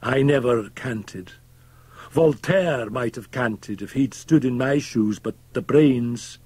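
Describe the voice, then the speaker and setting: A man's voice: mid-range voice, slow, darkish tone, slightly rough, dry recording, pitched above the performer's own voice. A man voicing a bitter, defiant old drunkard in a dramatized gothic audiobook, speaking in a declamatory, scornful way. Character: bitter, drink-sodden old Scotsman, fierce and defiant.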